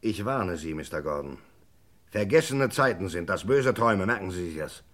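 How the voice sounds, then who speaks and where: A man's voice: sits low, lively intonation, normal speed, a bit bright. A man acting a guilty middle-aged businessman in a radio crime drama, evasive, blustering and threatening. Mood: irritated, threatening.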